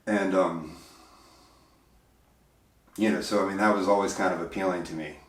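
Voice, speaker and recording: Deep-voiced man, hesitant and roomy. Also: steady tone, moderate pace, recorded from a distance. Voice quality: big deep voice. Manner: hesitant, full of fillers and restarts. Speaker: interview guest. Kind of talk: reflective interview talk. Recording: roomy, voice from off mic.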